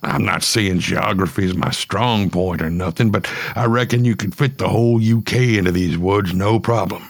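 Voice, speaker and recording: A man voicing a friendly, rough-spoken killer who chats in a slangy, laid-back way. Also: low voice, moderate pace, few pauses, dry recording, voice pushed down. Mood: easygoing. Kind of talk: storytelling.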